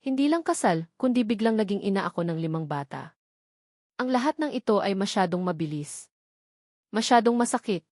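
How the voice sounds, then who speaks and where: A woman's voice: medium pitch, lively intonation, normal speed, darkish tone, no echo. Woman narrating melodrama, very dry sound. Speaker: audio drama narrator. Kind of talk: dramatic scripted first-person narration of a love story.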